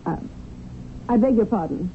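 An actress playing a calculating, self-assured widow in a 1970s radio drama, poised, coaxing and theatrical. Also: female voice, mid-range voice, expressive, moderate pace, close to an everyday voice. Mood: disbelieving. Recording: tinny old radio broadcast, faint hiss.

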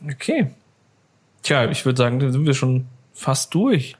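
A man's voice: mid-range voice, sing-song, measured pace, husky. A man co-hosting a podcast in an informal, easygoing chat style. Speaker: podcast co-host.

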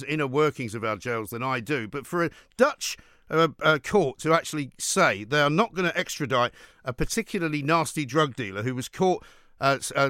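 A man's voice: medium pitch, a bit bright, no room sound, clean studio sound. A British man hosting a talk radio show, giving opinions in an emphatic, sardonic, rhetorical way.

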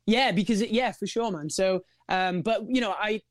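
A man's voice: moderate pace, no echo, clean studio sound. A man, a singer and songwriter, talking earnestly and reflectively about his music in a casual podcast chat. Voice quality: high voice. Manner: earnest, reflective, says "you know" and "man" a lot. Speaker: vocalist and songwriter guest.

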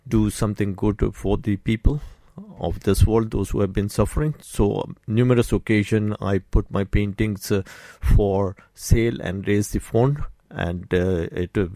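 A man in his sixties with a Pakistani accent talks in a radio studio interview. His voice sits low, and the sound is dry and close. He speaks haltingly, with frequent pauses and fillers. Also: creaky, dark tone, no echo. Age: 60s. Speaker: guest, doctor and painter.

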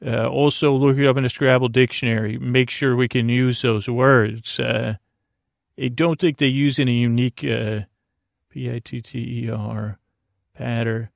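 Creaky-voiced man rambling gently off-track. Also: medium pitch, steady tone, no echo. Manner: halting, meandering, repetitive, drifting into whimsical asides. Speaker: podcast host. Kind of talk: rambling bedtime podcast monologue.

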